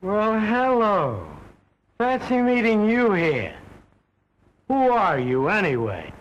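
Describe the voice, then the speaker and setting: A man's voice: sits high, sing-song, slow pace, muffled recording, heavily acted. A man playing a tipsy young man-about-town, rambling in genteel drunken chatter in 1930s film style. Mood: tipsy gallant.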